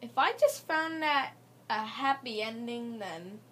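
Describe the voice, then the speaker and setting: A woman's voice: a little high, expressive, slow pace, fairly bright tone, slight background noise. A woman playing a video game and chatting to herself with joking asides. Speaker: gamer. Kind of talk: casual gameplay commentary. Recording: game music playing in the room. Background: game music.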